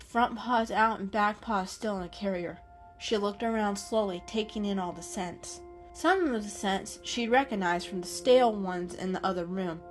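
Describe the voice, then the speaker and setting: A woman reads a story aloud as its narrator. Her pitch is middling for a woman, the delivery is scripted and dramatic, and faint music plays behind her.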